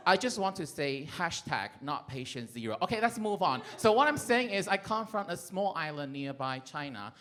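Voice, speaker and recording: A middle-aged man from Taiwan with a Taiwanese accent, performing a playful stand-up comedy set, breaking into shouts and laughter. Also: a little high, sing-song, moderate pace, hardly any pauses.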